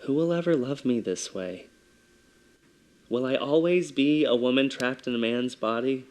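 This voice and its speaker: Male performer, mid-range pitch, soft feminine-leaning voice; introspective, hushed confessional monologue. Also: normal speed.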